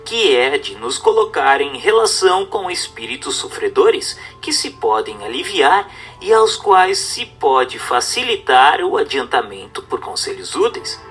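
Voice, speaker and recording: Bright-voiced Brazilian man narrating formally. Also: fairly high pitch, big pitch swings, unhurried, few pauses, breathy edge, soft background music. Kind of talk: scripted narration of a spiritist book.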